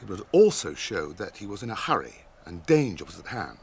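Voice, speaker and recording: An actor playing a grave, watchful ranger guide in a radio drama, speaking in measured British English like a storyteller. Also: male voice, lowish voice, big pitch swings, gravelly, slight background noise.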